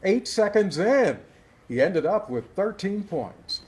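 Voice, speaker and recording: A man reading a scripted sports news item as a TV anchor, in a measured newsreader delivery. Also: sits high, big pitch swings.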